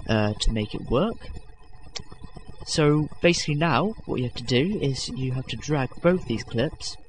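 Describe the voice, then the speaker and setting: A man's voice: medium pitch, slight background noise, rough recording. A young man hosting a casual video-editing tutorial, rambling with lots of fillers and self-corrections, self-deprecating. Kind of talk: unscripted screen-recording tutorial.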